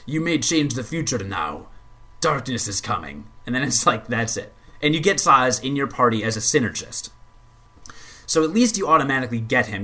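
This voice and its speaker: Man, podcast co-host, casual gaming chat, rambling, stammers, swears, mid pitch. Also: bright, forceful tone. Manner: rambling, stammering repeats, swears when annoyed.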